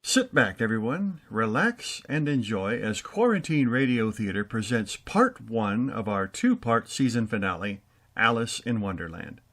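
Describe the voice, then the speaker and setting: A man's voice: on the low side, big pitch swings, measured pace, a bit bright. A man acting as announcer and director of a radio theater show, giving scripted banter and introductions.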